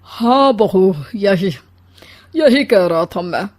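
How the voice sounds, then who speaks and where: A woman's voice: mid-range voice, animated, takes it slowly, darkish tone. A woman voicing a teasing older father-in-law in a comic cartoon story, with a dry, needling delivery.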